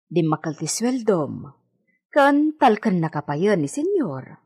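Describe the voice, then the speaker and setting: Husky, expressive Filipino village woman. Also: fairly low pitch, slow pace, full character voice. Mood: chatty. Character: deferential, practical village woman, loyal household worker. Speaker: radio drama actor. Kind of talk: radio drama acting.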